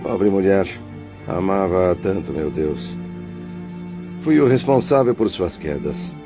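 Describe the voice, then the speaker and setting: A man's voice: low voice, lively intonation, slow, full character voice. A man playing a remorseful, grieving lover in a heartfelt radio drama. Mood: anguished remorse. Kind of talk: dramatised radio-play dialogue. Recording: dull lo-fi audio with music behind. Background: clear background music.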